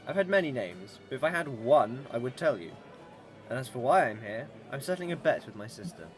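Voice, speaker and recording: A man's voice: mid-range voice, very animated, a little fast, soft background music, natural voice. A man acting in a radio drama as a mysterious, proud immortal being, with formal and aloof wording. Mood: aloof, questioning. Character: mysterious ageless immortal stranger, proud, coolly probing.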